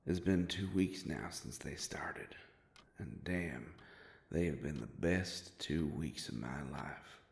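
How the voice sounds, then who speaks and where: A man playing a sardonic, grumbling werewolf private investigator with a soft heart, in an audio roleplay drama. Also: slow pace, exaggerated voice. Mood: smitten, musing. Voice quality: low gravelly voice.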